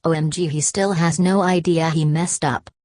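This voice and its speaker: A snarky female robot sidekick with a low, deep voice, as a woman voices her. She talks in a dry, mocking, flat way, recorded close and dry with no room sound. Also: moderate pace, no room sound, lightly acted.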